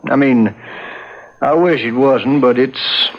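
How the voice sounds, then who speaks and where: An actor playing a plain-spoken, resigned sheriff in a 1970s radio drama. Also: male voice, mid-range voice, slow, lightly acted. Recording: muffled 1970s radio broadcast.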